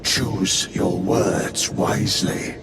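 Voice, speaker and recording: A man's voice: drawn-out words, gravelly, full character voice. An actor playing a severe male warrior chaplain who makes cold, admonishing pronouncements. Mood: stern. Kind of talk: game cutscene dialogue. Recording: echoey game scene with rumbling noise.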